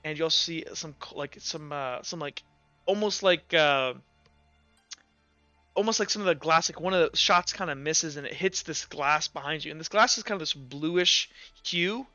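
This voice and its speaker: A man working as game master, improvising tabletop roleplay narration in informal, halting pieces. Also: on the high side, pushed and bright, dry recording. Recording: slightly dull audio, music underneath. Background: music.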